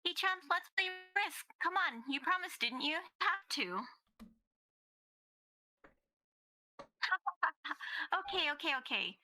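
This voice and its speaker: A woman voicing a cheerful, pushy high-school girl in a playful visual novel read-through. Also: animated, moderate pace, pushed and bright, heavily acted. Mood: bubbly, coaxing. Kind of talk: amateur voice acting of visual novel dialogue. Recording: music behind the voice. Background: music.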